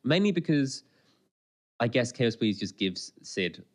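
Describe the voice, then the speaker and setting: A man's voice: mid-range voice, big pitch swings, a little slow, a little mellow. A man co-hosting a podcast in casual chat, rambling along and often interrupting himself. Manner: chatty, rambling, self-interrupting. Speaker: podcast co-host.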